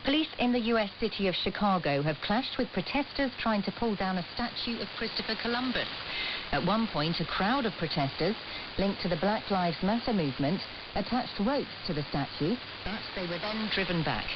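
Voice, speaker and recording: A woman's voice: medium pitch, lively intonation, normal speed. A woman reading a formal scripted news bulletin on the radio. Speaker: newsreader. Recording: muffled, very noisy hissing shortwave radio.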